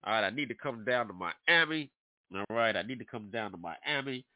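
A man's voice: lowish voice, normal speed, no room sound. A man from New York hosting an internet radio show, talking casually and preachily straight to his listeners, congested from allergies. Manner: animated, preachy, addresses listeners directly. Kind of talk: casual talk radio monologue. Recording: dry, tinny phone-like sound.

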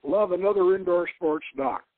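Casting: man playing adventure-novel hero, businesslike, clipped message dictation, higher male voice, narrow phone-like audio. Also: normal speed, no echo. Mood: affectionate, wry. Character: adventurer-scholar hero dictating terse, affectionate secret emails. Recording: tinny, narrow-band radio-style sound.

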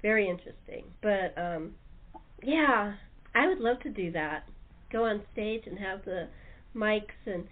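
A woman's voice: medium pitch, lively intonation, unhurried. A woman talk show host chatting casually in a chatty, enthusiastic way. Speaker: talk show host and radio-play producer. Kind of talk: casual chat. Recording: thin narrow phone-line audio.

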